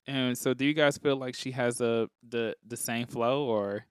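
A man's voice: medium pitch, fairly even intonation, measured pace, clear, resonant tone, no echo, studio quality. A man co-hosting a casual pop culture podcast, explaining his points with examples as he goes. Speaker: podcast co-host. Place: podcast studio.

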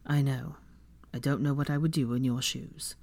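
Audiobook role: stern, composed young husband, low-pitched and deliberate voiced by a female reader. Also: steady tone, gravelly, a little mellow, dry recording, exaggerated voice. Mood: calm, soothing. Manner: measured, firm. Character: stern, calm, protective young husband and father.

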